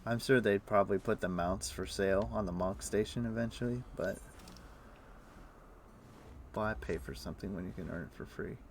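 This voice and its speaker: A man's voice: fairly low pitch, normal speed, pauses often, slight background noise. A man streaming a game, chatting casually and explaining things in a loose, rambling way full of fillers. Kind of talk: casual chat while playing.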